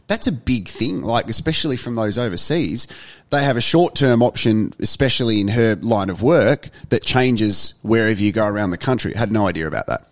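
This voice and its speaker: A man's voice: on the low side, animated, fairly quick. A man co-hosting a podcast, talking in an enthusiastic, conversational way that rambles slightly. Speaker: podcast co-host. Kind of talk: casual podcast chat.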